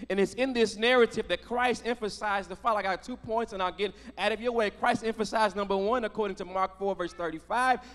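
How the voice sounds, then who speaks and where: A man's voice: high voice, fast, hardly any pauses, fairly bright tone, in a large hall. An American man in his thirties preaching a sermon into a handheld microphone in a church, emphatic, with repetition and rhetorical questions. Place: church sanctuary at a pulpit.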